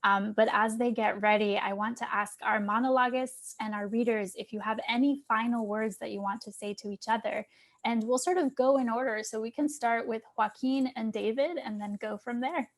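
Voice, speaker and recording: A woman's voice: a little high, steady tone, moderate pace, poor audio. A woman informally hosting a panel event as its moderator.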